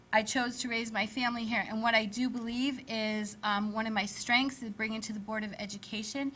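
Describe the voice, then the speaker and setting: Loud, bright-voiced woman in her fifties. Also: fairly high pitch. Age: 50s. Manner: loud, energetic, chatty. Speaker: school board candidate. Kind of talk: candidate statement at a public forum.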